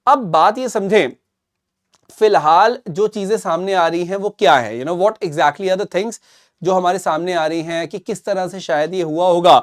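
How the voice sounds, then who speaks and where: A man's voice: high-pitched, measured pace. A man with an Indian accent presents current affairs analysis in an emphatic, repetitive explanatory way, switching between two languages. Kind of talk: explanatory news analysis to camera.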